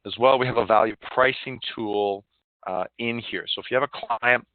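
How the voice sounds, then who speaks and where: A man giving a live online software demonstration, explaining informally and haltingly over a conference call. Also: fairly low pitch, a little hiss or hum. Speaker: software demo presenter. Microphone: phone or web conference call. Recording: narrow phone-like line.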